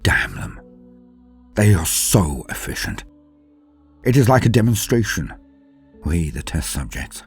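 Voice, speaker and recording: A man narrating a dramatic first-person war story as a grim, brooding space marine commander, grave and deliberate, with theatrical pauses. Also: low-pitched, big pitch swings, breathy edge, soft background music. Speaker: lore channel narrator.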